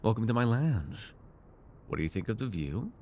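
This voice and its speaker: A man acting a smug, seductive and menacing sorcerer ruler in a fantasy ASMR roleplay, with a theatrical, teasing, deliberate delivery. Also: fairly low pitch, big pitch swings, dark tone. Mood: soft, teasing. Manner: theatrical, teasing, deliberate, with murmured asides and sudden force.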